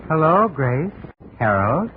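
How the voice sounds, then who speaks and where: A weary, wounded man on the run in vintage radio drama. His voice sits a little high and comes haltingly, with sentences trailing away. The sound is muffled and narrow, with faint noise. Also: big pitch swings. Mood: bitter. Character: weary runaway husband faking his death, wounded and halting. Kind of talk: 1950s radio drama acting.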